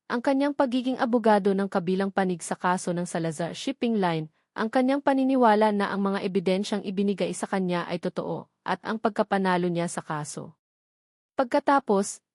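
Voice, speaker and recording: A woman narrating a scripted romantic drama story in a measured, dramatic storytelling manner. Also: mid-range voice, a little mellow, dry recording, studio quality.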